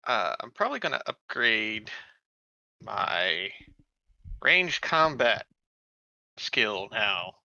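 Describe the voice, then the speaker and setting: Bright, sharp-voiced man, stammering through casual chat. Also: on the low side, very animated, slow, vocal fry, long pauses, dry recording, highs cut off. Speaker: tabletop roleplaying game player. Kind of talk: casual post-game chat.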